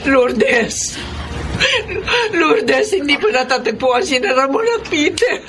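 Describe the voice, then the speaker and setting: A woman's voice: high-pitched, expressive, takes it slowly, loud music behind the voice. An actress playing an indulgent, emotional mother in a Filipino radio drama. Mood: distraught.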